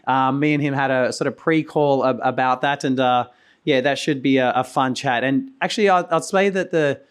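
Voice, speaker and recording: A hoarse man, losing his voice, hosting a conference and giving a loose, rambling keynote full of restarts and coughs. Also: mid-range voice. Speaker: conference host and company founder. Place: conference venue.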